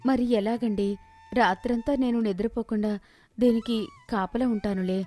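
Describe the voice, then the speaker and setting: A woman's voice: fairly high pitch, fairly even intonation, normal speed, mellow, faint music behind, natural voice. A woman voicing a poor gardener's supportive wife in a moral story, respectful and earnest. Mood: worried, helpful. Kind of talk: dramatised moral storybook dialogue.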